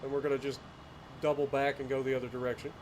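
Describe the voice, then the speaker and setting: A man's voice: mid-range voice, flat delivery, fast, a little mellow, a little hiss or hum. A man from Ohio with a mild Appalachian accent, chatting casually with viewers while streaming a game. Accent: Appalachian (Ohio foothills), mild. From Ohio, USA. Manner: laid-back, chatty, talks to chat viewers by name. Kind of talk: casual live-stream chat while playing.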